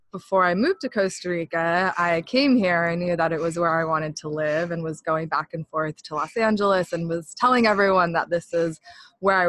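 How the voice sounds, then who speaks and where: A woman's voice: on the low side, a bit bright, no room sound. A woman from California, a podcast guest, telling her story earnestly in a casual interview.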